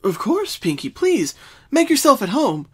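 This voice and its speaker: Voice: high-pitched, wildly swooping pitch, unhurried, a bit bright, husky, pitched above the performer's own voice, full character voice. A performer voicing a caring, bookish young female unicorn pony who speaks earnestly and precisely in a cartoon fan reading. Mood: concerned. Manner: concerned, precise, articulate.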